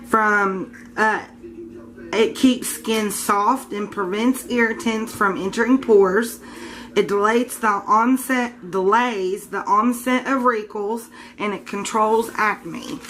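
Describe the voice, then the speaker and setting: A woman speaks at a middle pitch, her voice scratchy and congested. She chats casually and informally in a home kitchen, a little unsure and often correcting herself. A faint hum of room noise sits under her voice. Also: takes it slowly, fairly bright tone. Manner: chatty, informal, a bit unsure, self-correcting. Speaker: home soap maker. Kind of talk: casual show-and-tell vlog.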